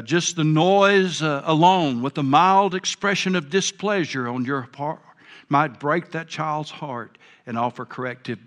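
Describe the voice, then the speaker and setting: A man's voice: fairly high pitch, fairly bright tone. An older man preaching a sermon, earnest and folksy, with a big voice. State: a bit hard of hearing. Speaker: pastor.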